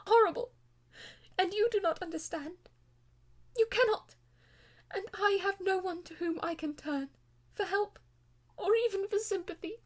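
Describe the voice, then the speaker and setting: A woman voicing an anguished, proud young gentlewoman who pleads emotionally in an audiobook. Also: very high-pitched, unhurried, long pauses, voice pushed up. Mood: anguished wailing.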